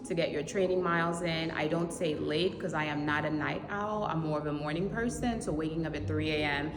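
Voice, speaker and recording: Lively woman runner, low voice, echoey. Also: fairly quick, a crowd in the background. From Haiti. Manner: animated, laughs often, emphatic repetition. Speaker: marathon runner, interviewee. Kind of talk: casual interview. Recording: echoey, reverberant with loud ambient noise.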